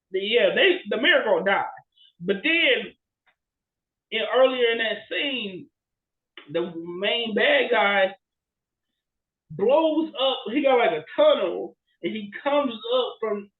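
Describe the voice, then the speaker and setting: A man's voice: high-pitched, echoey room, narrow, tinny audio. A man co-hosting a podcast, retelling movie scenes casually and animatedly, often checking in with his listener. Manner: animated storytelling with frequent "right?" check-ins. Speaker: podcast co-host.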